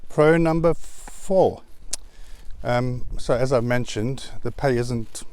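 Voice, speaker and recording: A South African man speaks as a vlogger in a casual, conversational style. He sets out his points in a measured way. The recording is dry, with hardly any room sound around the voice. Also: medium pitch, darkish tone, no room sound. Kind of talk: casual vlog talk to camera.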